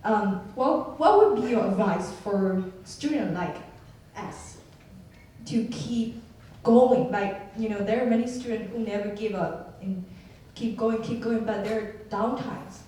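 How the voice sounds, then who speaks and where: A young woman from Myanmar with a Burmese accent, asking a halting question from the audience. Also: mid-range voice, warm tone. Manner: hesitant, halting, many fillers. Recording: echoey hall from far off, faint noise.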